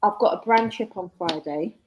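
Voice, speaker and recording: A woman, a guest author talking casually in an interview, chatty and quick to laugh. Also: medium pitch, a little mellow, slight background noise, rough recording. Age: 40s.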